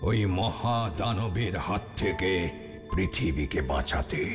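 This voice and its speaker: A mysterious cartoon caller with a low, slow, solemn delivery. The voice sounds distant, as if down a phone, with loud music behind. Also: male voice, expressive. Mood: solemn, urging. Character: mysterious, solemn male caller, urging and dramatic. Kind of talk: Bengali cartoon voice acting.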